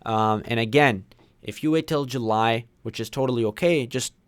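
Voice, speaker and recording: Young male course instructor from Pakistan, smooth clear voice, easygoing livestream host. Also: medium pitch, normal speed. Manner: chatty, reads viewer names and questions aloud. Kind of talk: casual livestream Q&A.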